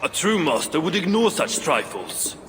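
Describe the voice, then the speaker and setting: A man's voice: fairly high pitch, sing-song, normal speed, fairly bright tone. An actor playing a stern, boastful dwarf master craftsman in a video game, with a pompous, emphatic delivery. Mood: proud, scornful. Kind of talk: video game cutscene acting. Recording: reverberant hall, faint background noise.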